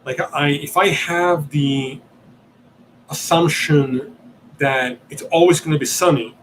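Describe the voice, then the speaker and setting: A man from Italy whose first language is Italian, hosting a livestream Q&A and reasoning aloud, often pausing and searching for words. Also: on the high side, fairly even intonation, slight background noise, poor audio. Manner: hesitant, searching for words, many ums and restarts. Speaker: podcast host answering questions.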